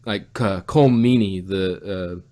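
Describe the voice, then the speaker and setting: A man's voice: deep voice, slow pace, dark tone. A man co-hosting a casual review podcast, rambling and often rephrasing as he goes. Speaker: podcast co-host reviewing audio drama. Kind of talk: casual chat.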